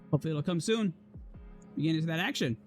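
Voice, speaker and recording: A man in his thirties hosts a gaming league stream with a noticeably hoarse voice. He talks casually to chat in a fairly high register, and soft music plays faintly behind him. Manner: casual, chatty, addresses chat. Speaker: esports league caster. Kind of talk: livestream caster filling time.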